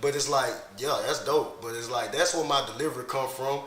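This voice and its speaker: A man's voice: medium pitch, fairly quick, fairly bright tone. A young man, a rapper, talking casually and laid-back in an interview, often checking in with "you know". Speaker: rapper guest. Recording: roomy echoey room.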